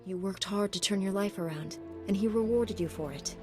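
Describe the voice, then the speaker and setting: A woman's voice: mid-range voice, a little fast, natural voice. Heroic female game lead actress: direct, assured, middle register, light music behind. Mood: calm, assured. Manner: confident, direct. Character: resolute young heroic female Jedi Knight. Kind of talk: video game dialogue.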